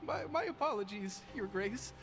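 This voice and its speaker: A woman's voice: medium pitch, expressive, normal speed, natural voice. A woman voicing a flustered, apologetic young prison guard in a video game, stammering and breathless. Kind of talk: game voice acting.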